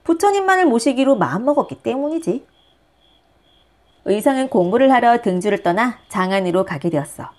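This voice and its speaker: Lively woman reading a children's storybook. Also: a little high, fairly quick. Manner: animated, speaking to young listeners. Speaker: storyteller reading a picture book. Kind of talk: expressive children's storybook narration.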